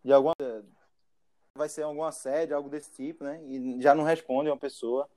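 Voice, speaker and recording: A man's voice: a little high, fairly even intonation, brisk, a little mellow, poor audio. A man hosting a casual podcast chat, laughing often and trailing off mid-sentence. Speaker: podcast host.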